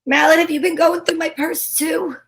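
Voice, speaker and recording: A woman's voice: extremely high pitch, fairly even intonation, normal speed, noisy background. A woman playing a plucky, wisecracking female private eye in an old-time radio drama, telling her story dramatically. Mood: coy, indignant. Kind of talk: old-time radio detective acting, first-person narration.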